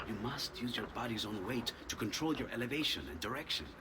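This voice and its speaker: An actor playing an excitable, earnest Renaissance inventor with an Italian accent in a video game. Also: male voice, mid-range voice, fast talker, distant mic, background noise, close to an everyday voice. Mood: earnest, instructive. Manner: expressive, earnest, exclamatory. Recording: echoey reverb, music underneath. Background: game music.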